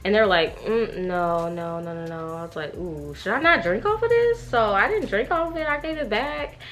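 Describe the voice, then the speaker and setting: A woman's voice: mid-range voice, expressive, moderate pace, fairly bright tone, faint music behind. A young woman telling a story in a casual, slangy, gossipy way, acting out bits of dialogue as she goes. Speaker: vlogger. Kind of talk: animated casual storytime chat.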